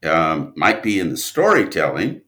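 A man speaking as an interview guest, a writer telling stories conversationally in an animated but halting manner. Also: low voice. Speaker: guest, audio drama writer and producer.

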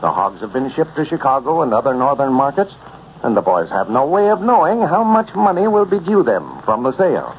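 A man's voice: mid-range voice, sing-song, fairly quick. A man working as a radio announcer, delivering scripted copy and story introductions in 1930s style. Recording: muffled, noisy 1930s radio recording.

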